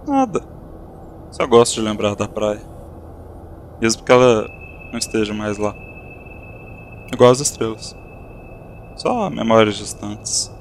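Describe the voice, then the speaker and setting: An actor playing a calm, reflective spirit of a dead friend in an audio drama, speaking softly and unhurriedly. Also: male voice, lowish voice, measured pace, long pauses, a little hiss or hum. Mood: wistful. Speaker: spirit character.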